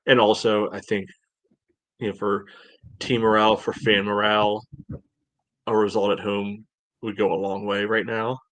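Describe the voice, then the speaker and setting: A man with a fairly low voice in a dry recording discusses sports as a podcast co-host. He speaks casually but carefully, weighing things up and qualifying his points as he goes. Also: level tone, fairly bright tone, dry recording, rough recording. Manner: measured, hedging, reasoning aloud.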